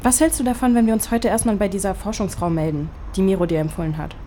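A woman's voice: medium pitch, steady tone, fast talker, warm tone, lightly acted. Woman acting pragmatic, sceptical young best friend. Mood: practical. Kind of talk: naturalistic audio drama dialogue. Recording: clear background ambience noise.

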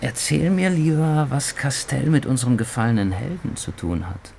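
A man playing a sceptical, world-weary journalist, speaking with a creaky voice and wry, lecturing manner in a radio drama. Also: mid-range voice, very animated, normal speed, a little mellow, slight background noise. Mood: cynical. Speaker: supporting actor.

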